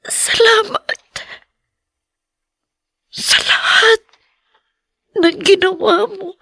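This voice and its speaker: A woman playing tearful grieving daughter, high gravelly whisper. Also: extremely slow, sharp tone, no echo, heavily acted. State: crying. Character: young woman Danny, grieving her late mother. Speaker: lead character. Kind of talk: dubbed TV drama acting. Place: hospital room.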